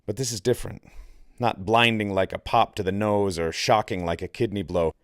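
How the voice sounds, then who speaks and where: A man reading a novel aloud as scripted audiobook narration, in a measured, deliberate manner with dramatic pauses. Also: lowish voice, fairly even intonation, no echo, clean studio sound. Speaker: author reading own novel.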